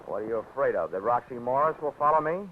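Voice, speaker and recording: A man's voice: medium pitch, lively intonation, fast talker, close to an everyday voice. An actor playing a hard-boiled male insurance investigator who talks in dry, easygoing wisecracks. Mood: wisecracking. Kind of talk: 1940s radio acting. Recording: tinny 1949 radio broadcast with faint hiss.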